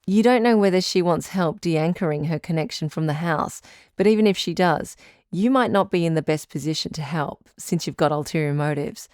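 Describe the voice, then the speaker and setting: Actress as a low-voiced, calm woman radio counsellor. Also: no room sound, studio quality, lightly acted. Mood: reassuring, practical. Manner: measured, tactful, reassuring. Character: calm, patient woman relationship advice radio doctor. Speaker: advice show host. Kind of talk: audio drama phone-in advice.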